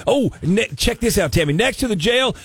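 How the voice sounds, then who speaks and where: A man's voice: sits high, expressive, fairly quick, a bit bright, husky, dry recording, full character voice. A man playing a folksy, gleeful Christmas village collector on a radio prank call, chatty with old-timey cowboy exclamations. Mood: insistent, gleeful.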